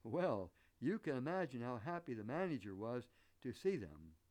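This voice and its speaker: Animated Southern-accented American male preacher. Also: mid-range voice. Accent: American, picked up Southern. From northern United States. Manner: earnest, deliberate, rhetorical questions. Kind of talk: sermon with illustrative anecdotes.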